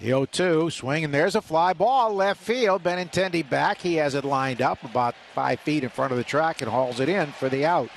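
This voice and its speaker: Older male baseball announcer, slightly high voice. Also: moderate pace, vocal fry, hardly any pauses. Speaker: radio baseball play-by-play announcer. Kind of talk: live sports commentary. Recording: faint stadium ambience noise behind. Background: ballpark ambience.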